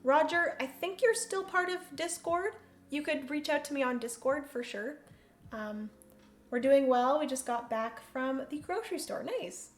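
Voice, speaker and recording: High-voiced woman streaming in a roomy room. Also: normal speed. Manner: chatty, thinks aloud, laughs often. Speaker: host. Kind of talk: solo board game livestream commentary. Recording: roomy room echo, faint hum.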